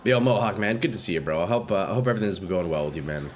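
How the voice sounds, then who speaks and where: A man's voice: sits low, expressive, rapid-fire, a little hiss or hum. A young man streaming a game live with a stuffy nose, chatting with viewers in a laid-back, slangy way at a desk microphone.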